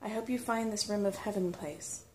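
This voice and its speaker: A woman voicing a shy, eager young boy in a storybook, soft and hesitant. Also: mid-range voice, normal speed, a little hiss or hum, lightly acted, low-quality recording. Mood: hesitant hope. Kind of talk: soft storybook character reading.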